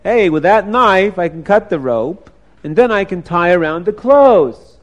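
A man voicing a naive, excitable little boy in a moral tale, exclaiming and explaining himself innocently. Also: high-pitched, a little slow, lo-fi sound. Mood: excited. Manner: exclaiming, innocent, self-justifying.

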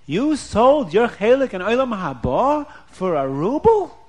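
A man's voice: a little high, sing-song, fast. A man voicing an outraged wealthy wife who scolds her husband in indignant rhetorical questions, in an oral story.

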